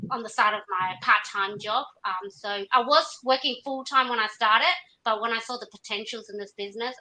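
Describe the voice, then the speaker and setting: Guest: woman from Myanmar, bright tone, grateful and filler-heavy intro. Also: mid-range voice, poor audio. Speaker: guest, business owner. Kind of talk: self-introduction on a live stream.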